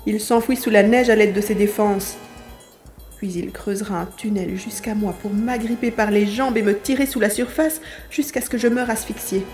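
A woman playing a plucky young boy adventurer in an audio drama, with an expressive delivery. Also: mid-range voice, normal speed, faint music behind, close to an everyday voice. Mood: tense narrating. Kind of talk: acted audio drama with inner narration.

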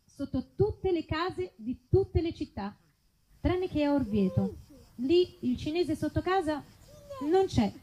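A woman's voice: high-pitched, animated, slow pace, warm tone, slight background noise, low-quality recording. A woman performing a mock advertisement in a live comic sketch.

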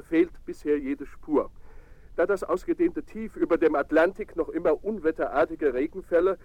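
An actor playing a solemn 1920s radio news announcer, speaking formally and with measured weight in a radio play. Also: male voice, fairly high pitch, a little mellow, no room sound, rough recording. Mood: urgent, formal.

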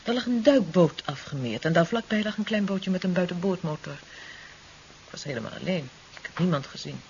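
Radio drama heroine: young, fragile and loving, weary halting speech, dull recording with faint hiss. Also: female voice, lowish voice, lively intonation, darkish tone. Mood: weary, recounting. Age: young adult. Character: tender, weary young woman recovering from an ordeal. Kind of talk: 1970s radio drama acting. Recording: dull, hissy 1970s radio tape.

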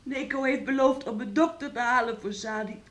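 A woman's voice: sits high, normal speed, a bit bright. An actress in a radio play, playing a frail, sick, submissive woman with a hesitant, childlike plaintive manner. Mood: feverish pleading. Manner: hesitant, plaintive, childlike third-person speech.

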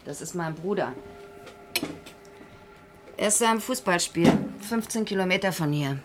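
Actress as a guarded young-crook's sister, noisy backdrop. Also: female voice, on the low side, expressive, moderate pace, natural voice. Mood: guarded, curt. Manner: curt, matter-of-fact. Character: plain-spoken, guarded woman, sister of a crook. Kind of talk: German radio play acting.

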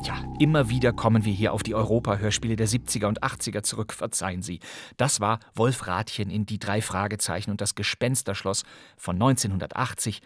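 A middle-aged man hosting a podcast with scripted, playful narration, wry and given to theatrical emphasis and pauses. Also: lowish voice, dry recording, faint music behind. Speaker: podcast host. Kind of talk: scripted, playful presentation on radio drama history.

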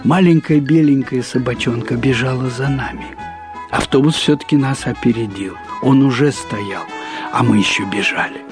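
A man narrating a first-person literary short story in a deliberate, wryly humorous manner, with measured pauses and repetitions. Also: medium pitch, over music.

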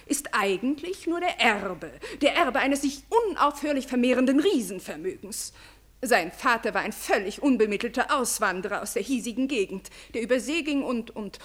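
An actress playing a gossipy, deferential aristocratic lady in a radio play, telling her tales effusively with dramatic, drawn-out emphasis. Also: a little high. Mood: eager, deferential. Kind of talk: German radio play acting.

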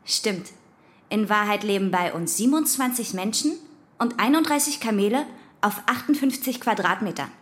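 A woman's voice: mid-range voice, lively intonation, normal speed, breathy edge. A young actress playing a dry, challenging teenage girl in naturalistic radio drama. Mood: sardonic. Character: sardonic, blunt teenage girl living with her parents.

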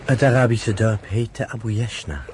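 A man's voice: lowish voice, moderate pace, darkish tone, a little hiss or hum. A man narrating a radio drama in a scripted storytelling style.

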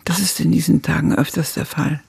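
Very gravelly elderly woman narrator. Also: deep voice, fairly even intonation, brisk, darkish tone, exaggerated voice. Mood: reflective. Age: older. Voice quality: gravelly, husky. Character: elderly woman reflectively recalling her youth. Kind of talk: radio drama narration. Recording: music behind the voice.

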